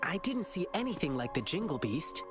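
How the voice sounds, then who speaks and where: Casting: protective young game hero, earnest, animated intonation, music under the lines. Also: male voice, a little high, normal speed. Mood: urgent, serious. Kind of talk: video game voice acting. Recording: background music behind dialogue.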